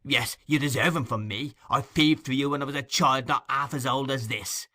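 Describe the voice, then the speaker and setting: A man's voice: medium pitch, moderate pace, pushed and bright, pitched above the performer's own voice, lightly acted. A man voicing a furious, desperate young woman thief in a storybook, pouring out her words with vehement passion. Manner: vehement, torrential, screaming outbursts.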